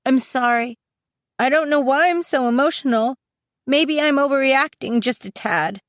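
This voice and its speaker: A woman's voice: high voice, moderate pace, no room sound, clean studio sound, pitched above the performer's own voice, lightly acted. A woman voicing a fiercely protective college-age sister in an audiobook, emotional and exasperated, with an emphatic, pleading delivery. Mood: tearful, apologetic. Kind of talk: dramatised audiobook dialogue.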